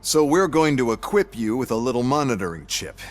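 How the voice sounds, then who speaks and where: A man's voice: medium pitch, big pitch swings, fairly quick, natural voice. An actor playing a contemptuous male corporate overseer in a game cutscene, mocking and dismissive. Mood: scornful. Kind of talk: video game cutscene acting.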